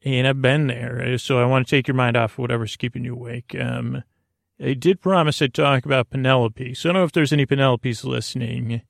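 Creaky-voiced man rambling gently at bedtime. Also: mid-range voice, steady tone, no room sound, clean studio sound. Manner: meandering, halting, trailing off into tangents; gently whimsical, reassuring. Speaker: host of a bedtime sleep podcast.

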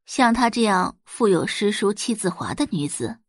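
Female audiobook narrator for dramatic fiction, animated storytelling delivery with a slightly husky tone. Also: medium pitch, moderate pace, no room sound, clean studio sound. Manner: expressive storytelling.

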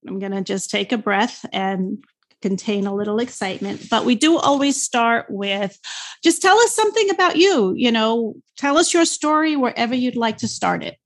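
A woman's voice: fairly high pitch, normal speed. New York-accented woman hosting an interview. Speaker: interview host.

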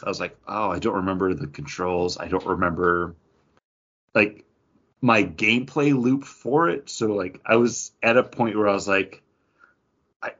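A man's voice: lowish voice, a bit bright, muffled recording. A man co-hosting a podcast in casual pop culture chat, rambling along and often quoting his own thoughts with plenty of filler "like". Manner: rambling, self-quoting, peppers talk with "like". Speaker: podcast co-host.

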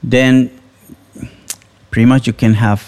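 A man from Venezuela who speaks English as a second language, talking slowly and hesitantly as an interview guest. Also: medium pitch, flat delivery, a little mellow. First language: Spanish. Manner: slow and hesitant, tag questions. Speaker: guest musician and sound engineer. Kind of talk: relaxed interview talk.

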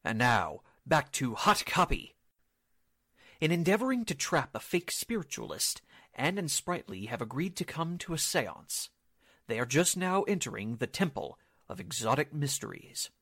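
A man's voice: on the high side, sing-song, normal speed, a bit bright, dry recording. A man announcing a radio drama in an old-time scripted narration style.

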